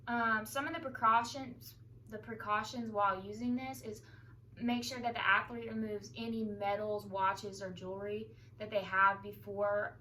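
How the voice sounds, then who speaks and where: A young woman giving an informal instructional demonstration in a hesitant, halting way. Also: a little high, bright, forceful tone. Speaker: student demonstrating a therapy technique. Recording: distant mic, clear background noise.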